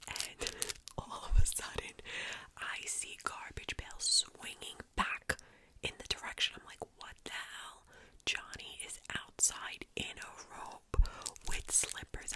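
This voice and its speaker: A woman from New York whispering chatty neighborhood gossip in ASMR style, with casual swearing. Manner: whispering throughout, chatty, swearing, animated retelling. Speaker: vlogger telling neighborhood gossip.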